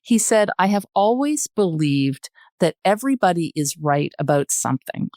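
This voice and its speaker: An older woman chatting as a podcast guest, telling a story in a halting, thoughtful way. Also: lowish voice, sing-song, mellow, dry recording, studio quality. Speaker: podcast guest, retired journalist and producer. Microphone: close podcast microphone.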